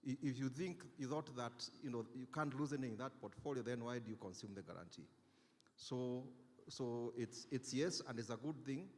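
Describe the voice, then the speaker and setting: A man on a conference panel giving a careful, deliberate answer, often repeating words as he thinks. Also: mid-range voice, a little mellow. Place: conference hall. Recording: long hall reverb.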